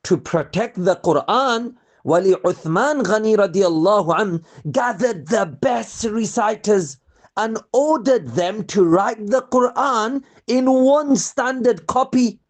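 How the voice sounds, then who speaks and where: A storyteller narrating a scripted religious tale for children in an expressive way, putting questions to the young listeners. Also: medium pitch, takes it slowly.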